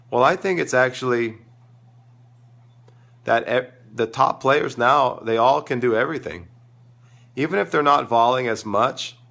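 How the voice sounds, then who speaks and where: A man's voice: medium pitch, fairly bright tone. A man with a sore, crackly voice hosting a live tennis coaching Q&A, talking in an enthusiastic, rambling way. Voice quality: crackly, gravelly voice. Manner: enthusiastic, rambling, thinks aloud. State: sore throat, losing his voice. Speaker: tennis coach and show host. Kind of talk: live-stream Q&A talk.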